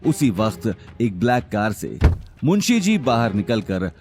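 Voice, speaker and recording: Lively male story narrator. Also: lowish voice, unhurried, over music. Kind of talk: dramatic scripted story narration.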